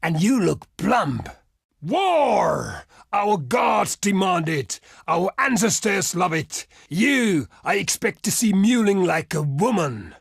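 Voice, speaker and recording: Proud, slow-spoken barbarian envoy. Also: male voice, high-pitched, very animated, gravelly, breathy edge, dry recording, clean studio sound, full character voice. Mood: fierce, menacing. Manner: declamatory, weighty, formal oratory. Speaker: diplomat character in a strategy game. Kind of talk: theatrical scripted game voice lines.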